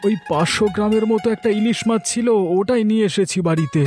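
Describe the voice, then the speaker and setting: A man's voice: sits high, fast, a little mellow, soft background music, close to an everyday voice. A man voicing a meek village fishmonger husband in a cartoon, hesitant and placating. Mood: meek, obliging. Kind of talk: Bengali cartoon dialogue dubbing.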